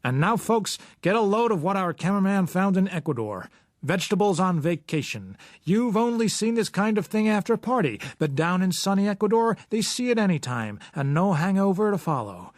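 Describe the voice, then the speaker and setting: A man's voice: sits high, expressive, fairly quick, dry recording, studio quality, pitched above the performer's own voice. A man voicing a brash, peppy newsreel commentator with jaunty, folksy showman patter in an audiobook. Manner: jaunty, exaggerated showman delivery. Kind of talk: old cinema newsreel patter.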